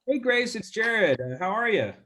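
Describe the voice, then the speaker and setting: A real estate agent with a stuffy nose, casually walking through a call script with lots of fillers. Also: male voice, sits high, very animated, normal speed, pushed and bright. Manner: casual, chatty, many fillers. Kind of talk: role-play training demo.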